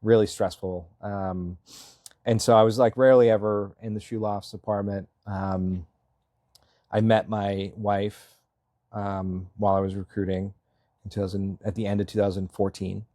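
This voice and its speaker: Low-voiced man, rambling and chuckling. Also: little pitch movement, moderate pace, mellow, dry recording, clean studio sound. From Massachusetts. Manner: rambling, self-deprecating, laughs often, many fillers. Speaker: selectboard candidate, guest. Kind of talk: casual interview talk.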